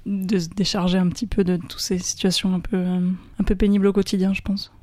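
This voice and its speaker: A young woman in a band, explaining her work in a relaxed, casual way during an interview. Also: mid-range voice, brisk. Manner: relaxed, explanatory with soft laughs. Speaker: band member, interview guest.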